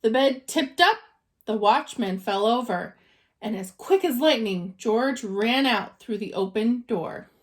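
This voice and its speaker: A woman narrates a children's storybook in a high voice. She takes her time and moves expressively up and down, dramatizing the tale for young listeners as a teacher would. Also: animated, a little slow. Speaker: teacher reading aloud.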